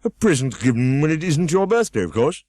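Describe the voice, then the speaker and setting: A man's voice: a little high, big pitch swings, a little fast, a little mellow, pitched above the performer's own voice. A man voicing a pompous, easily offended egg who sits on a wall and boasts grandly. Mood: lecturing.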